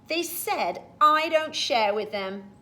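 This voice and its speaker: A woman's voice: sits high, animated, takes it slowly, bright, forceful tone, pitched above the performer's own voice, heavily acted. A woman voicing a stubborn young schoolboy in a storybook read-aloud. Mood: confused, sad. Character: stubborn young schoolboy, selfish but learning. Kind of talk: storybook character voice.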